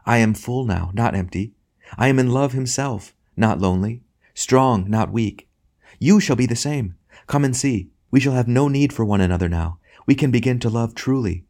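Guy reading as a kind happy lady spirit in a storybook, gentle n teasing, soft-edged raised voice. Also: medium pitch, normal speed, voice pushed up, natural voice. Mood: joyful. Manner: gentle, earnest, tender. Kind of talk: audiobook character acting.

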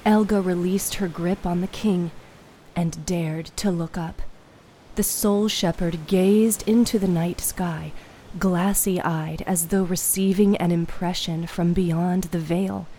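A woman narrating a fiction podcast in a measured, suspenseful, dramatic storytelling style. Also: fairly low pitch, fairly even intonation, mellow, slight background noise, poor audio.

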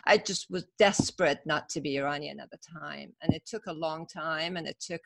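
A woman's voice: low-pitched, a little fast, vocal fry, fairly bright tone. A woman in her fifties from Iran, a podcast guest telling her story in a reflective, casual way with frequent fillers. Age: 50s. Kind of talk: casual interview chat.

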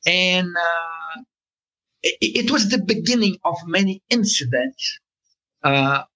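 A man's voice: sits high, lively intonation, takes it slowly, bright, forceful tone, poor audio. A man being interviewed about his work as a cartoonist, speaking as a with many fillers and restarts. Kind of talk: conversational interview answer.

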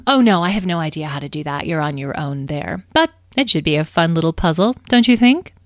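A woman's voice: low-pitched, animated, a little fast, no echo, studio quality. A woman voicing a bossy, snobbish fairy leader in a storybook, with grandiose, emphatic phrasing and biting wit. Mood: gleeful. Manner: grandiose, gushing, emphatic, sarcastic.

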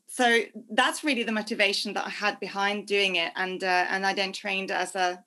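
A woman's voice: mid-range voice, pushed and bright. A woman from Norway, answering interview questions conversationally as a guest life coach. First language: Norwegian.

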